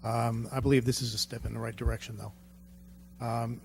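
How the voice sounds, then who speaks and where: A man's voice: medium pitch, flat delivery, moderate pace, creaky, a little hiss or hum. A man on the city council making remarks and a motion, hesitant with many fillers. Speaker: council member.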